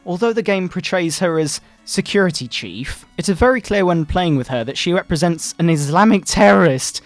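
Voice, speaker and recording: Man putting on a pompous, high-pitched fire-and-brimstone moral crusader. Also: expressive, dry recording. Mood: scornful, preachy. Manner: pompous, melodramatic preaching with drawn-out words. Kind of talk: mock-dramatic satirical reading.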